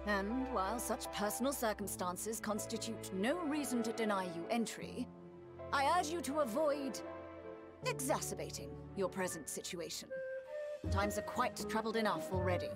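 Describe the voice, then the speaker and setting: A woman playing a stern, officious immigration official in a video game, formal, measured and disapproving. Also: mid-range voice, natural voice. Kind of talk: scripted video game acting. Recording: voice under loud background music. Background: loud game music.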